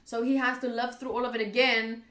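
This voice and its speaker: A woman's voice: fairly high pitch, little pitch movement, brisk, a bit bright. A chatty woman livestreaming a visual novel, reading its narration aloud and commenting casually in reaction to the game and viewers. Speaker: game streamer. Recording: roomy echo.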